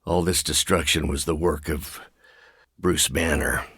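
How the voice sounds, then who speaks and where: An actor playing a brooding, polite and intellectual scientist hero in a video game, speaking in a measured, earnest, hesitant way. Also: male voice, very deep voice, steady tone, fairly bright tone, breathy edge, dry recording, studio quality, full character voice. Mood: troubled, remorseful.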